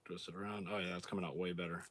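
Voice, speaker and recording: Deep-voiced man host, hoarse, laid-back workshop demo. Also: very fast. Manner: relaxed, plain-spoken, thinking aloud while working. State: hoarse, clearing throat. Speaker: outdoors channel host. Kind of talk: casual hands-on demonstration.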